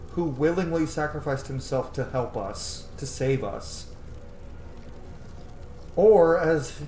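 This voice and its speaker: A man's voice: medium pitch, darkish tone, a little hiss or hum. A man in his thirties giving casual reaction commentary as he plays a video game. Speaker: gaming video host. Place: small room at home. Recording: roomy, faint game music behind.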